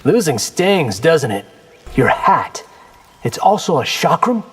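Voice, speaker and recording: A defiant young fighter from a video game, with a slightly high male voice and very lively intonation. He sounds wry and sure of himself, with light reverb around him. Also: takes it slowly, breathy edge, full character voice. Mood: determined. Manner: cocky, wry, determined. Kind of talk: video game character acting.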